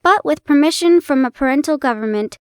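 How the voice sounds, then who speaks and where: A young woman in a playful roleplay, chatting warmly to the listener and reading an old story aloud, giggling and stumbling over old place names. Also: high voice, expressive, a little fast, no room sound, clean studio sound. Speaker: audio roleplay performer.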